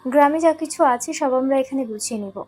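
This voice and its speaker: Woman doing a young family member, fast talker, agreeable, audio story w music. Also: high voice, a little mellow, lightly acted. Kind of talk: acted audio story dialogue. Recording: background music throughout.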